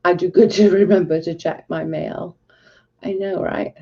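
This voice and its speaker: A woman's voice: fairly low pitch, steady tone, normal speed, dark tone, slightly rough, lo-fi sound. A Welsh woman hosting a casual livestream, talking bluntly and with exasperation to her chat. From Wales. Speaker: livestream host.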